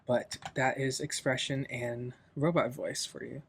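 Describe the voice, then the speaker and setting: Casual man giving a software tutorial. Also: mid-range voice, flat delivery, unhurried, creaky, husky. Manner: informal, loosely explanatory. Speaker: tutorial host.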